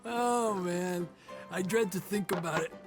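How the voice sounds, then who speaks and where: An actor playing a playful, wry outlaw gunslinger in a Western video game. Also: male voice, high-pitched, unhurried, music in the background. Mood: teasing, amused. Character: lively, teasing male outlaw gunslinger. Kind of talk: video game cutscene acting.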